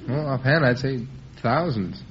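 A man's voice: mid-range voice, unhurried. Young American man on old radio. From Los Angeles, United States. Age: 20s. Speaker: quiz show contestant. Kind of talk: casual interview answers. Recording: dull old radio recording, faint noise.

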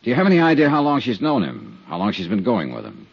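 Insurance investigator: husky, calm, conciliatory, 1950s radio style, dry muffled recording. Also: male voice, fairly low pitch, quick pace, dry recording, exaggerated voice. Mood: calm, probing. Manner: easy, conciliatory, wry. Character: calm, easygoing male private insurance investigator. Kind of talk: 1950s radio drama acting.

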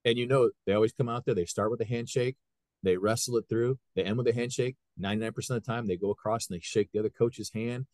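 A man in his fifties from Ohio, a high school wrestling coach who talks earnestly in a casual podcast chat and often acts out what people said. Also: fairly even intonation, quick pace, a little mellow, no echo. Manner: earnest, retells scenes by quoting people.

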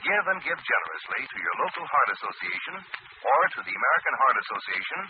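A man as a radio announcer reading a scripted public service appeal in a formal, earnest, measured mid-century style. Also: mid-range voice, big pitch swings. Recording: tinny, noisy old radio recording.

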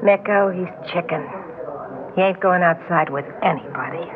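An actress playing a tough, taunting young waitress, sardonic and slangy, in mid-century radio drama style. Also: female voice, fairly low pitch, moderate pace, background noise, natural voice. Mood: dismissive. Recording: tinny old radio recording with music.

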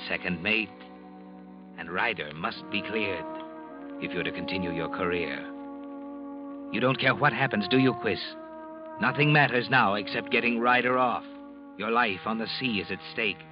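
Low ominous 1940s radio storyteller played by an actor. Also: male voice, close to an everyday voice. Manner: accusing, knowing, deliberate. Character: ominous all-knowing male storyteller taunting the guilty. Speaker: narrator. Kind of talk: 1940s radio mystery narration, second person. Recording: dull old 1940s radio recording, music behind.

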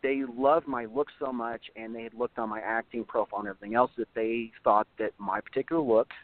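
Hoarse young actor, chatty, rambling phone interview guest, dry and tinny. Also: male voice, medium pitch, fairly even intonation, fairly quick, no room sound. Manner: rambling, many fillers and restarts. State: slightly hoarse. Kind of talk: casual interview over the phone.

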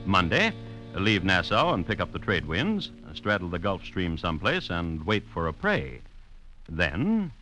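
A male narrator tells a story in a wry, old-time radio style. His voice is on the low side, bright and very animated, with soft music behind. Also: poor audio. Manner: wry, storytelling with dramatic pauses. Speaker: narrator and host. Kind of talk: scripted 1950s radio narration.

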